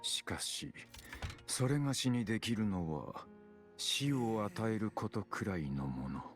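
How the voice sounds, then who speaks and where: Solemn stoic swordsman acted by a man, lowish voice, grave archaic formality, game dialogue over clear music. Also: animated, normal speed, natural voice. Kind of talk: Japanese video game voice acting.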